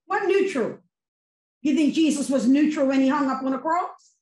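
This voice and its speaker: A woman's voice: high voice, lively intonation, normal speed, a little mellow. A middle-aged woman giving a fervent, preacherly response to a sermon, full of emphatic rhetorical questions, on a video call. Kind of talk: impassioned post-sermon testimony. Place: home room with virtual background. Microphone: laptop webcam on video call. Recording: echoey video call audio.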